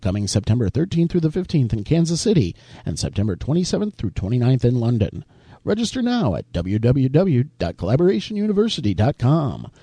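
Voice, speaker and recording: A man reading a scripted sponsor ad with energetic, punchy delivery. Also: mid-range voice, fairly quick, husky, no room sound. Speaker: sponsor ad announcer. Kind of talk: scripted promo read.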